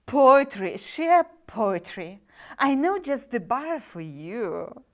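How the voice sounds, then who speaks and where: Woman playing a slick, high-voiced demon dealmaker. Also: sing-song, takes it slowly, full character voice. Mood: boastful, teasing. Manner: breezy show-business sales patter, mocking and theatrical. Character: smooth-talking, wisecracking demon sub-agent dealing in damned souls. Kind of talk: dramatic script reading.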